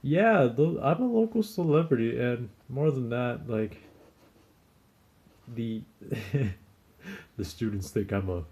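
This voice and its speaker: A man's voice: mid-range voice, lively intonation, takes it slowly, pauses often, a little mellow. A young man in his twenties chatting casually in a vlog about working as an English teaching assistant, rambling with frequent restarts and chuckles. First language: not Japanese; speaks Japanese as second language.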